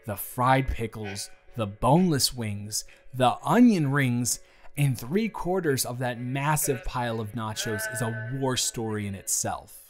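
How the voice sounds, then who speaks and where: Music plays behind a man acting as an office boss in his early thirties, his voice at an average male pitch. He speaks straight to the listener as in a romantic audio roleplay. He is earnest and encouraging, with a chatty, playfully overblown way of putting things. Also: unhurried, lightly acted. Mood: admiring, teasing. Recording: background music.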